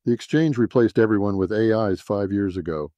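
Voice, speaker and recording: A man's voice: on the low side, a little fast, warm tone, no echo, natural voice. A man playing an irritable, suspicious private eye who grumbles sarcastically in a noir audio story. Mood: sarcastic.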